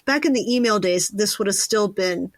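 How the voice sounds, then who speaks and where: A woman's voice: medium pitch, moderate pace, no echo. An American woman hosting a casual interview podcast in a playful, chatty manner.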